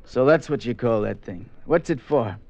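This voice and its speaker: An actor playing a friendly but doubtful homicide sergeant in 1950s radio drama, with folksy, slangy, matter-of-fact talk. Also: male voice, medium pitch, animated, moderate pace, a little mellow. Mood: curious. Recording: dull, dry 1950s radio recording.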